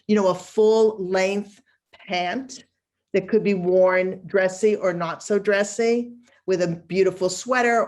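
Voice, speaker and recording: A woman with a Boston accent speaks as a wardrobe stylist on a video call. Her delivery is chatty and emphatic, and she laughs easily. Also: medium pitch. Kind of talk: casual live webinar talk.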